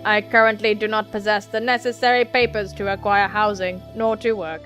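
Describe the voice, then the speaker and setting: A woman's voice: mid-range voice, flat delivery, a little fast, fairly bright tone, faint music behind, natural voice. A woman voicing a polite male android from a visual novel in a formal, stiff, matter-of-fact way. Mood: flat, formal.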